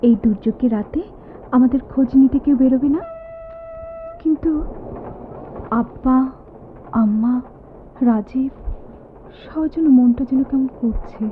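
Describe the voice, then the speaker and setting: An anguished young woman in a radio play, her voice high and theatrical. She speaks with emotive, halting repetitions. The sound is thin and phone-like, with faint noise. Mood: playful, teasing. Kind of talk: Bengali radio drama acting, theatrical. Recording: tinny, phone-like old radio drama recording, noisy.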